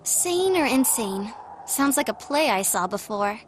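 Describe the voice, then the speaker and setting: A woman's voice: fairly high pitch, animated, a little slow, slight background noise. A woman voicing a sweet, inquisitive teenage girl in a video game dub, informal and musing.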